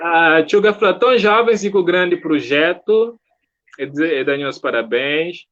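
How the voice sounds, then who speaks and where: A man's voice: fairly high pitch, a little slow, a bit bright, dull audio. A man with a Cape Verdean accent hosting a casual live chat in a jovial manner. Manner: jovial, reads out viewer comments. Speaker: interviewer, host.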